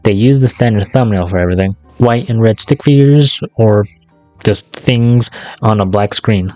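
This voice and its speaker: Male video essayist, lower register, slangy and sardonic, casual scripted delivery, very dry recording. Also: normal speed, warm tone, no room sound, muffled recording, faint music behind. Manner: sarcastic, profane, slangy.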